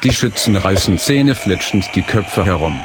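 A man's voice: deep voice, lively intonation, normal speed, background noise. A man delivering scripted, dramatic storytelling as the narrator of an audio play. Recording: very loud music bed.

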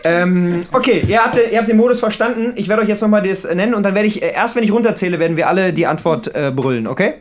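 Male podcast host, high-pitched voice, informal and playful, moderating a party game. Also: animated, quick pace, hardly any pauses. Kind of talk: casual chat, moderating a game among friends.

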